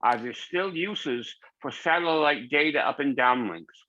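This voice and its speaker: A man's voice: medium pitch, expressive, a little slow, bright, forceful tone. A man moderating a session and reading out questions from the audience, on a lo-fi microphone. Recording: dull, lo-fi microphone sound.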